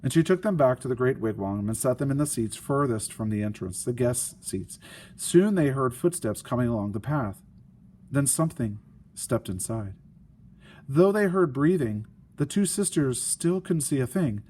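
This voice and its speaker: A man reading a children's story aloud, with deliberate phrasing and dramatic emphasis. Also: mid-range voice, animated, darkish tone. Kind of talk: expressive children's story narration. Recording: faint background noise and ambience.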